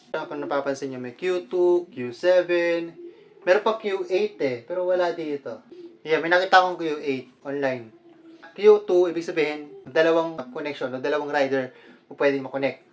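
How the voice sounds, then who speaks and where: A man with a Filipino accent, a vlogger talking casually through a product unboxing in a conversational way, mixing Tagalog with English. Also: fairly high pitch.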